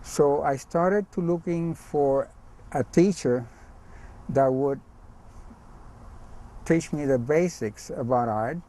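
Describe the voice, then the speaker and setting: An older man from Cuba talks about himself at an unhurried pace, with a mellow, warm tone. He pauses often with ums and uhs as he goes. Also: fairly high pitch. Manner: hesitant, many ums and uhs. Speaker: visiting artist. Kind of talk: casual spoken account.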